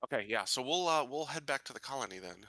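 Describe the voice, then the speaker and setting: A man playing a tabletop roleplaying game, talking casually and deliberately with frequent hesitant pauses. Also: mid-range voice, expressive, pushed and bright, no echo. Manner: deliberate, hesitant, pausing often.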